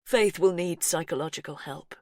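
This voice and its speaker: A woman reading an audiobook, voicing a distressed young man who tries to stay calm and reason gently. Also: fairly low pitch, normal speed, no room sound, clean studio sound, natural voice. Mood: firm.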